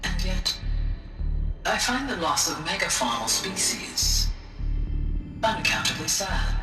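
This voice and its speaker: A woman playing a gentle, earnest artificial intelligence in a video game, speaking formally and precisely. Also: lowish voice, sing-song, a little slow. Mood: sorrowful. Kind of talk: scripted video game drama. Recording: echoing, distant, with music underneath.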